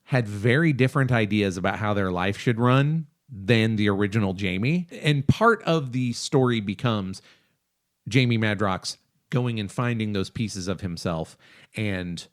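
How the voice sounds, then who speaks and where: Low-voiced male podcast co-host. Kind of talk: casual review discussion.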